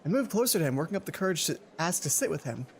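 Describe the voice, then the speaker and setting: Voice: medium pitch, big pitch swings, racing, a little hiss or hum. A let's play host reading a visual novel aloud with casual commentary, stumbling over words and interrupting the narration.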